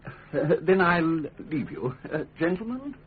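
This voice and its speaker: A smooth, scheming ambassador in a radio drama. His high voice is very husky and swoops with courtly flattery. The sound is thin and phone-like. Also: unhurried, heavily acted. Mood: flustered politeness. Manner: formal, ingratiating, elaborately courteous.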